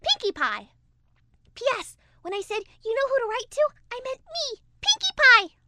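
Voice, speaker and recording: A woman voicing a hyper, cheerful young female cartoon pony who rambles in gushing excitement. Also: very high voice, normal speed, no echo, heavily acted. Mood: chirpy rambling. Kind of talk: cartoon character letter reading.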